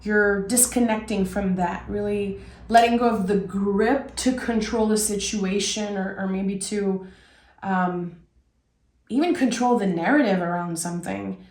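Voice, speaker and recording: A woman gives an unhurried tarot reading, her voice raspy from a cold. She wanders thoughtfully from point to point, and her voice rings in an echoey room. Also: mid-range voice, a little mellow. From Québec. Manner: reflective, meandering, with fillers. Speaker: tarot reader. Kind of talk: casual spoken tarot reading.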